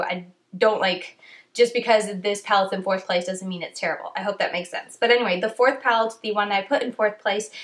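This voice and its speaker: A young woman with a stuffy nose from a cold, chatting casually through a makeup review. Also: mid-range voice. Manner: chatty, rambling with many "like"s. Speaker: beauty vlogger.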